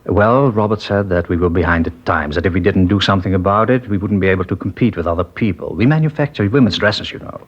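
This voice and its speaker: A soft-spoken, trusting older man from an old radio crime drama, hesitant and a little rambling, with a low voice. The sound is muffled and dated, like a vintage broadcast. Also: fast, hardly any pauses. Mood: gentle. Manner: gentle, hesitant, rambling speech. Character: mild, trusting older male garment-factory owner. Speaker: witness in a police interview. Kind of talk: 1950s radio drama acting.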